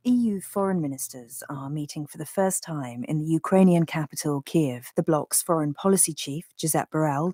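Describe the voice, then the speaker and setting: Female world-news reader, mellow and slightly low, very dry studio recording. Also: no echo. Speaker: international radio newsreader. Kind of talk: scripted news roundup.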